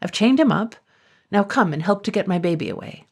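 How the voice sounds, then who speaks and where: A woman's voice: medium pitch, lively intonation, creaky, darkish tone, husky, pitched above the performer's own voice. Woman doing a polite clever blacksmith. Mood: brisk. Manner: polite, brisk. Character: humble clever village blacksmith, a man.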